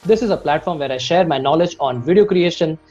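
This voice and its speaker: A man's voice: a little high, animated, fast, a little mellow. A man hosting an interview show, reading formal, scripted introductions and then asking his guest questions. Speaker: host, video creation coach. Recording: dull lo-fi audio with music bed.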